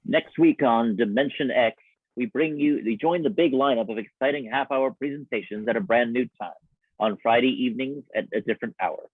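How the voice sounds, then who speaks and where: Casting: male host of a live radio drama, mid-pitched, announcer style, slightly dull dry recording. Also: a little fast, breathy edge, no room sound. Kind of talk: live old-time radio show announcing.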